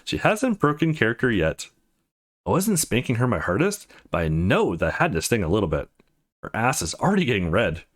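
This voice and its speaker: A man's voice: lowish voice, huge pitch swings, a little fast. A man voicing the self-assured male protagonist of a visual novel, reading his thoughts and lines casually and expressively. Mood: amused. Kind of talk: reading game narration and dialogue.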